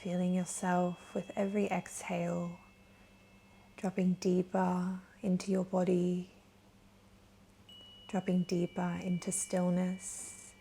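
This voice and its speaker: A woman leading a guided meditation in a gentle, soothing, soft voice that is breathy at times. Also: on the low side, completely flat delivery, a little hiss or hum, low-quality recording. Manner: gentle, soothing, unhurried with long pauses. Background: soft chimes.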